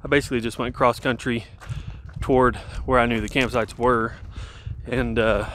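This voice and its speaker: A man's voice: medium pitch, moderate pace. Guy in his 30s talking to his camera on a hike, outdoors, kinda rambling, faint hiss. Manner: relaxed rambling with fillers and restarts. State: walking downhill. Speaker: hiker vlogger. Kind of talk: casual hiking vlog. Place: outdoors on a mountain trail. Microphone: camera held at arm's length while walking.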